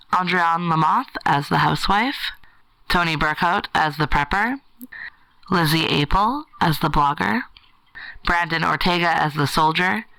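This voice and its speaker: A woman's voice: low-pitched, pushed and bright, dry recording, low-quality recording. A woman reading scripted podcast credits as an announcer.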